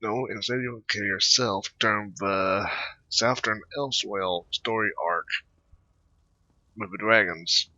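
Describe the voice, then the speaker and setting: Halting man, very sharp, dry voice. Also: on the low side, no room sound. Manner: halting, repeats himself. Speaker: gaming video host. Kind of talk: casual, rambling game playthrough commentary. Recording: dull, lo-fi close dry mic.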